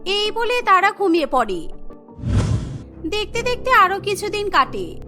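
Very high-pitched bright female story narrator. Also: big pitch swings, unhurried. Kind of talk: scripted cartoon story narration. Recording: music behind the voice.

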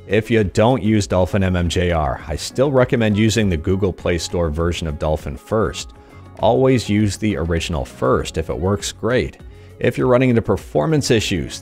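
A man in his thirties with a low voice delivers a scripted tech news rundown, upbeat and quick with a joke. He speaks close into a studio mic in a small room at home. Faint music sits quietly under his voice. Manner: upbeat, jokey sign-offs. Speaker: emulation news host. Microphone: studio mic on boom arm.

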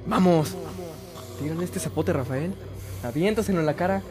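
A man's voice: fairly high pitch, normal speed, close to an everyday voice. An actor playing an aggressive young male bully in a radio drama. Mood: provoking, menacing. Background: dramatic music.

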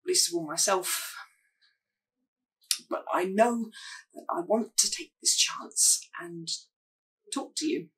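A woman playing an awkward, lovestruck young person, hesitant and earnest in a halting monologue. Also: on the low side, a bit bright, slightly rough, recorded from a distance, heavily acted, rough recording. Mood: earnest yearning. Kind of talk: dramatic monologue acting.

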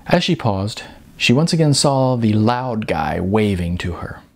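A middle-aged man narrates a story expressively. His voice is fairly low, with a gravelly, husky texture, and his pitch moves around in a lively way. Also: a little slow. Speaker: audiobook narrator. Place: small bright room indoors.

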